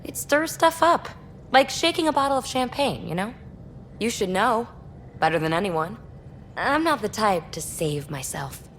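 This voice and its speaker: A woman acting a brash, candid young rogue explorer in a video game, talking in a snarky, animated and colloquial way. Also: medium pitch, measured pace, a little hiss or hum. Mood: playful, defiant.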